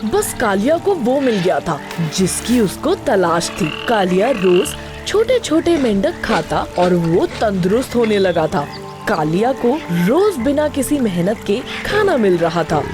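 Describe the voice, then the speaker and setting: A woman's voice: medium pitch, very animated, measured pace. A woman narrator reading a scripted moral story for children. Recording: music behind the narration.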